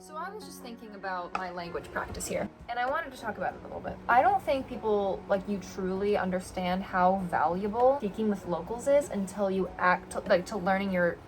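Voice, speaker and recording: A woman's voice: medium pitch, animated, fairly quick, few pauses, music in the background. A young American woman talking casually to her camera, rambling with lots of fillers and restarts. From United States. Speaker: vlogger. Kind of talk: casual vlog talk to camera. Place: indoors, a rented home room.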